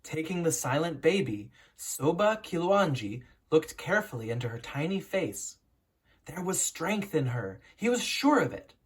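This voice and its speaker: A woman narrates a children's storybook in a noticeably husky, unpolished voice. Her pitch moves around in a lively way. She tells it like a teacher, with dramatic, deliberate pauses. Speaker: teacher reading a picture book aloud.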